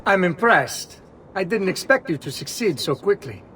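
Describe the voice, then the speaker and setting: An actor playing a brisk, confiding thief giving instructions in a video game. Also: male voice, high voice, expressive, background noise. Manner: instructing, matter-of-fact. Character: male thief plotting jobs, brisk and confiding. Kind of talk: video game cutscene acting.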